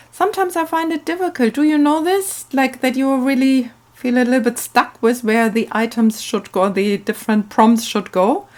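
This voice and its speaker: A woman hosting a craft video, talking in a musing, unhurried storytelling way. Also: a little high, darkish tone. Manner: musing, hesitant, thinking aloud. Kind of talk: casual craft narration and slow storytelling.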